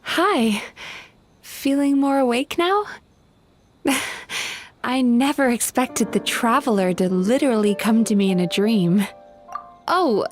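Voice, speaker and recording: A kind young spirit who eats bad dreams speaks softly and politely in a soothing, slightly high voice. She talks like a gentle healer reassuring her patients, in a video game scene with faint music behind her. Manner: soft, polite, soothing, a little flustered. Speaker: dream therapist.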